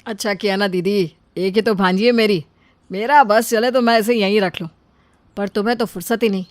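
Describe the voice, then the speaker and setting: A woman's voice: medium pitch, steady tone, a little fast, no room sound, lightly acted. Woman doing young husband and uncle in a cartoon story, fond n earnest, dry studio sound. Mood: affectionate. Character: affectionate young man, family-minded uncle, husband and father.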